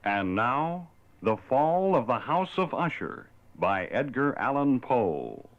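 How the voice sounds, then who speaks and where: A man's voice: medium pitch, very animated, slow. A man announcing a vintage radio drama in a grand, dramatic way. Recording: dull old radio transcription with music behind.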